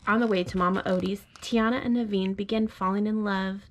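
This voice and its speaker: A woman speaking gently, as a parent does to a small child at bedtime. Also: mid-range voice, steady tone, fairly quick, noisy background. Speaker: parent reading aloud. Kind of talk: bedtime storybook read-aloud. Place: bedroom at home.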